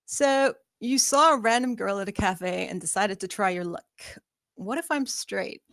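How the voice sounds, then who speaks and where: A woman's voice: medium pitch, normal speed, gravelly, fairly bright tone, no echo. A woman playing a sardonic, teasing young woman at a screenplay table read. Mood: wry, teasing.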